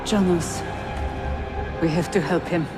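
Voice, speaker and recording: An actor playing a wary, determined rebel fighter in a game cutscene, blunt and urgent. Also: male voice, a little high, steady tone, unhurried, lightly acted. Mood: angry, urgent. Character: wary, hot-headed male rebel fighter, gruffly determined. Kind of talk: video game cutscene acting. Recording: long echo, music behind.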